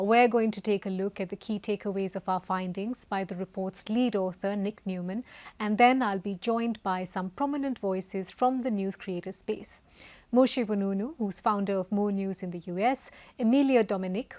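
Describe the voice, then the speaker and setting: Woman, event host, measured formal delivery, mid-range voice. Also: medium pitch, a little mellow. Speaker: event host, institute director. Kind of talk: scripted event introduction.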